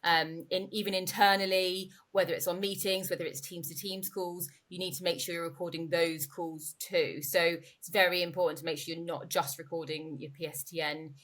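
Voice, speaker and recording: A woman's voice: on the low side, steady tone, normal speed, few pauses, a bit bright. A woman being interviewed as a company expert, explaining things informally in a conversational way and rephrasing as she goes. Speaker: interviewee, company growth director.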